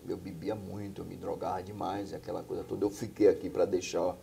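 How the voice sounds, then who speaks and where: Deep gravelly middle-aged pastor. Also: male voice, flat delivery, a little fast, warm tone, slight background noise. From Bahia, Brazil. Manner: earnest storytelling with frequent tag questions. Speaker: pastor and singer, guest. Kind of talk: telling his life story in a studio interview. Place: small TV studio.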